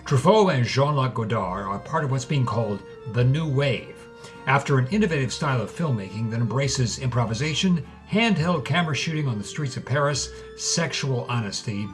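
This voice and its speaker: A man's voice: medium pitch, lightly acted. Actor as male documentary narrator over music.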